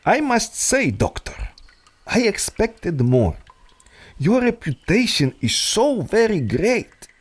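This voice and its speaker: A man's voice: high voice, huge pitch swings, takes it slowly, breathy edge, exaggerated voice. An actor playing a suave, scheming male villain who speaks in a condescending, theatrical way. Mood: smug.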